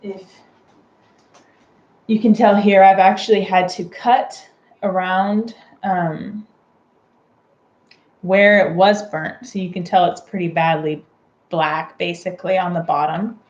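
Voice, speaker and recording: Casting: woman, home baking host, slow and chatty explainer, recorded at a distance from the mic. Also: lowish voice, fairly even intonation, dull audio. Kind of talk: casual unscripted how-to demonstration. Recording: roomy, distant mic with clear background noise.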